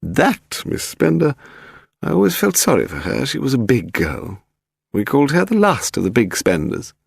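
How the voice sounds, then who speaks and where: A man's voice: medium pitch, wildly swooping pitch, moderate pace, gravelly, husky, no room sound, studio quality, voice pushed up, exaggerated voice. A man voicing a haughty, pedantic English aristocrat, a frail lady in her eighties, in an upper-class accent for an audiobook story. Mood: malicious, dismissive. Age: 80s. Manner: pedantic, grand, derisive, demanding. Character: imperious frail aristocratic old lady of eighty-five, malicious.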